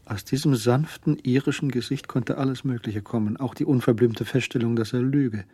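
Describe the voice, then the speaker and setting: A man's voice: medium pitch, fairly even intonation, moderate pace, warm tone, slightly rough, lightly acted. A man acting as the anxious narrator of a suspect's thoughts in a crime radio play. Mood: anxious suspense.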